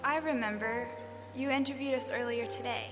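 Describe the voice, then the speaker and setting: An actress playing a quiet, humble young mother in a live radio drama, speaking softly in few words. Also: a little high, steady tone, moderate pace, big-room sound, over music, natural voice. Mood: hushed. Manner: soft, hushed, few words.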